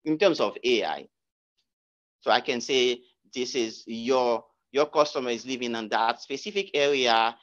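Man, guest speaker, halting repeated phrases, middle pitch, slightly muffled sound. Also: a bit bright. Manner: halting, with many restarts and repeated words. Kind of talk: answering audience questions at a meetup talk. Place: lecture room.

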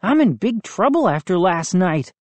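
A woman voicing an excitable boy who is the narrator's friend, loud and agitated. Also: fairly low pitch, moderate pace, no room sound, pitched above the performer's own voice.

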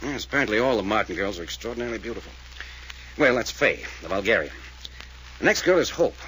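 Take-charge american detective in an old radio serial, talks fast, faint hiss. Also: male voice, fairly low pitch, lively intonation, bright, forceful tone, poor audio. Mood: brisk, matter-of-fact. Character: level-headed, commanding male detective leader. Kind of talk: 1940s radio acting.

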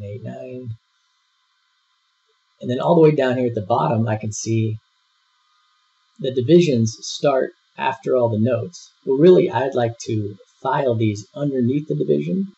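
A man giving a screen-recorded software tutorial, walking through each step in a relaxed way with frequent fillers. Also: mid-range voice, gravelly, breathy edge. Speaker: software instructor. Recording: dull lo-fi voice-over.

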